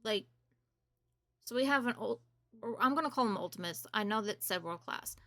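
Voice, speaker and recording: Bright-voiced woman game streamer. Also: mid-range voice, expressive, moderate pace. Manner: blunt, informal, swearing. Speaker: game streamer reacting to and reviewing a demo. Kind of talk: casual gaming commentary.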